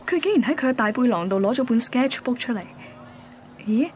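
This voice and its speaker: A woman's voice: on the high side, animated, brisk, background noise, lightly acted. An actress playing a bored, wistful young woman from a radio drama, with a Hong Kong accent. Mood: curious, hesitant. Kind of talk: radio drama dialogue and narration.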